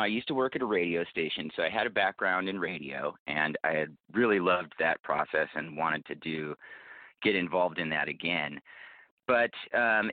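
A man's voice: low voice, no room sound. A man from the United States explaining his work conversationally as an interview guest. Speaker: interview guest, podcast producer. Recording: thin phone-like audio.

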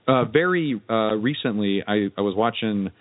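Low-voiced man chatting, muffled dry audio. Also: animated, normal speed, no echo. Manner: rambling, hesitant, frequent "you know" and "kinda". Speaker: podcast host. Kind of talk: casual interview chat. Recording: dull lo-fi, dry podcast audio.

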